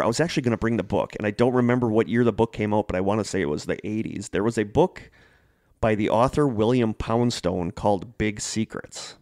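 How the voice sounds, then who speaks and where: A man's voice: lowish voice, a little mellow, no echo, clean studio sound. A middle-aged man co-hosting a podcast, telling stories casually in an enthusiastic, rambling way. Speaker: podcast co-host. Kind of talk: casual conversational storytelling.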